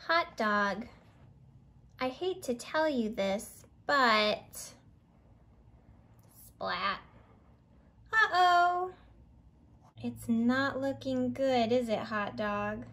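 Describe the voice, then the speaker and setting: A woman in her thirties hosting a children's storytime, reading a picture book expressively and deliberately. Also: fairly high pitch, animated, fairly bright tone. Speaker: library storytime host.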